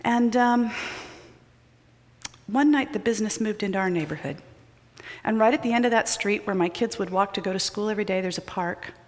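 A woman's voice: mid-range voice, a little fast. Woman preaching in a reverberant sanctuary. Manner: storytelling, swings from loud urgency to hushed near-whispers. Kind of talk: sermon with personal stories. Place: large church sanctuary with choir loft. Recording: long hall reverb of a large sanctuary.

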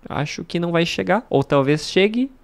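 A man's voice: fairly high pitch, moderate pace, gravelly. A Brazilian man in his thirties, a language teacher, chatting animatedly to camera from a room at home into a studio mic. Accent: Brazilian Portuguese. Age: 30s. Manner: animated, gestures a lot with both hands, laughs between phrases. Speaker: language teacher and channel host. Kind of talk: casual talking-head vlog. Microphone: studio mic on a stand in frame.

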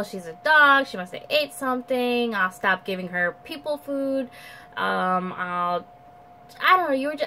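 A young woman with a nasal, stuffed-up voice rambles casually to her camera at home. She restarts sentences often and fills pauses with "um". A faint hum sits under the close, indoor recording. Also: medium pitch, sharp tone, poor audio. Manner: chatty, rambling with many fillers and restarts. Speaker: vlogger. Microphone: camera at close range.

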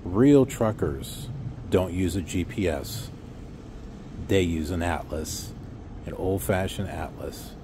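A man's voice: low-pitched, slow pace, background noise. A middle-aged man vlogging outdoors into a phone held at arm's length, rambling sarcastically with lots of fillers. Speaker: trucking vlogger. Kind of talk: casual outdoor vlog rant. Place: outdoors by a block wall.

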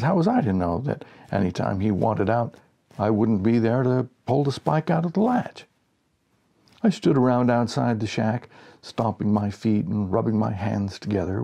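An American man in his sixties reading a humorous short story aloud in an expressive storyteller's way. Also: fairly low pitch, normal speed, creaky, breathy edge. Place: small home office, indoors.

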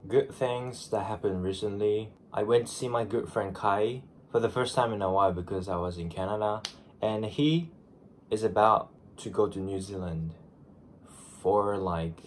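A man's voice: lowish voice, a little slow, slight background noise. A Japanese man in his twenties with a Japanese accent, chatting casually to camera in his bedroom, groggy from just waking up. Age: 20s. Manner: chatty, self-deprecating, restarts phrases. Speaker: vlogger. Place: small bedroom, indoors. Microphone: camera in front at desk.

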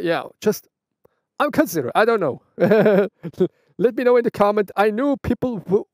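A man's voice: high-pitched, a little mellow, no echo. Chatty Swiss German man outdoors. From Switzerland. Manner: chatty, restarts phrases, laughs often. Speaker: motovlogger. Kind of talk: casual Q&A vlog. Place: outdoors by a roadside bench.

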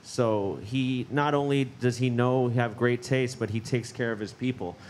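A man's voice: mid-range voice, unhurried, darkish tone, a little hiss or hum. A man talking casually on a panel, laid-back and full of "you know", into a handheld mic in a large gallery.

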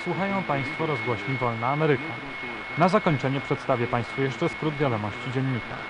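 A man's voice: medium pitch, a little fast, natural voice. A man playing a formal, official radio news announcer in a parody bulletin. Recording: very noisy radio broadcast.

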